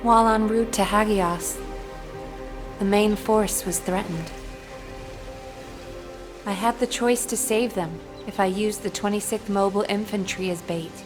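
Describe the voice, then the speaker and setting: An actress playing a stern, guarded military officer in a video game, speaking in a curt and restrained way. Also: female voice, mid-range voice, measured pace, long pauses, music in the background, natural voice. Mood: guilt-ridden. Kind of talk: dramatic video game acting.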